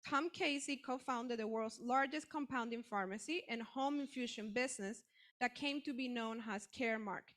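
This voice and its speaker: A woman hosting an event, reading a formal introduction of the guest speaker in a brisk manner. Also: fairly high pitch, a bit bright. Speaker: event host.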